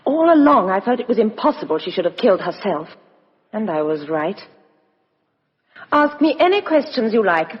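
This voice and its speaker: Actress as a self-possessed young Englishwoman, animated pitch. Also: normal speed, long pauses, close to an everyday voice. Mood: candid. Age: young adult. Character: cool, self-possessed young Englishwoman, sharp-minded and outspoken. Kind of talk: 1950s radio drama acting. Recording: muffled vintage radio broadcast, reverberant studio.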